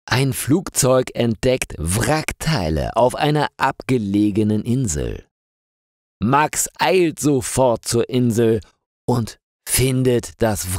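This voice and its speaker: A man with a very low voice reads a story slowly and clearly for learners. His pitch moves a lot, rising and dropping to shape each sentence. The sound is close and dry, with no room around it. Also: darkish tone, dry recording. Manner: slow, clearly articulated reading with falling sentence ends. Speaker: narrator of German learning stories. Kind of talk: slow scripted story narration for learners.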